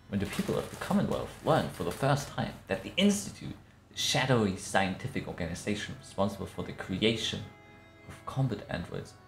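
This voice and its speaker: A man giving a theatrical scripted narration with measured, affected diction in a put-on accent. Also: fairly low pitch. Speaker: streamer reading aloud. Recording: roomy echo. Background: faint music.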